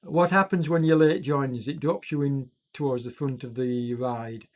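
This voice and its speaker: A man hosts live commentary on an online cycling race while fighting a chest cold, so his voice sounds congested. His pitch sits in a middle register. He rambles, fills gaps with ums, and corrects himself mid-sentence. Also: moderate pace. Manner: rambling, self-correcting, many fillers. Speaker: e-racing commentator and streamer.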